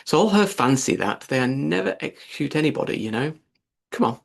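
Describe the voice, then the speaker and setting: A man's voice: on the high side, animated, brisk, creaky. A man voicing an amused, dismissive griffin in a storybook, talking in folksy, ungrammatical phrases. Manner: colloquial, folksy grammar. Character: casual, amused male griffin, dismissive creature.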